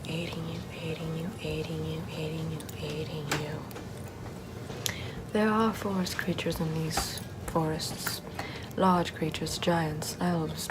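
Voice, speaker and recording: A woman playing a sardonic Puritan-era forest witch in a soft, rambling, mostly whispered ASMR roleplay. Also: on the low side, background noise, close to an everyday voice. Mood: soothing, then wry, chatty. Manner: rambling, conversational, repeats words softly.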